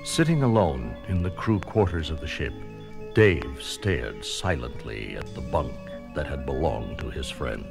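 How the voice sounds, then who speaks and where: A man's voice: rumbling low, expressive, measured pace, gravelly. A man narrating a children's read-along story in a dramatic, scripted storytelling style. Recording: music under the voice.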